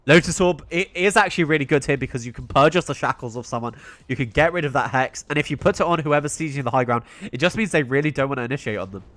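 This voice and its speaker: A man's voice: medium pitch, quick pace, fairly bright tone, dry recording. A young British man giving solo play-by-play commentary on a live esports stream, chatting with his viewers between plays. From Britain. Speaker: esports caster.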